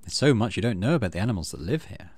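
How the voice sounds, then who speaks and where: A man hosting a gaming channel, low-key, casually commenting on play and reading story text aloud. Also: expressive, racing.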